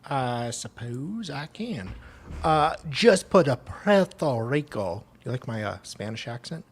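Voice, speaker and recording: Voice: medium pitch, sing-song, takes it slowly, slightly rough. A co-host on a casual live stream, giving brief agreeing replies and reading posts aloud.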